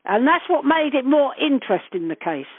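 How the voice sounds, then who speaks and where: Older woman, high-pitched, on the phone. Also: sing-song, moderate pace, dry recording. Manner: chatty, earthy and blunt, swears casually. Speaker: interviewee recalling past events. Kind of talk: casual phone reminiscence. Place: on a phone call. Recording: narrowband telephone call, dry.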